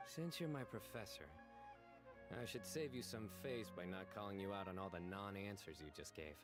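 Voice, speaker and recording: Man acting a deep, deadpan young man. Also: normal speed, natural voice. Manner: deadpan, dry quips. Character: stoic young man, deadpan, earnest former student. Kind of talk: video game voice acting. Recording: game audio with music underneath. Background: game music.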